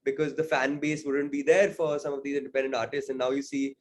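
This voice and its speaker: A man's voice: mid-range voice, little pitch movement, quick pace. A man being interviewed as a singer-songwriter, talking casually and thoughtfully, hedging often with "sort of" and "I think".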